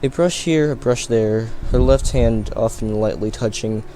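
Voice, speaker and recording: Mellow-voiced man reading a game aloud. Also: mid-range voice, a little slow, faint music behind, poor audio. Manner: stumbling, restarting words. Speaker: let's play reader. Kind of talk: reading a visual novel aloud.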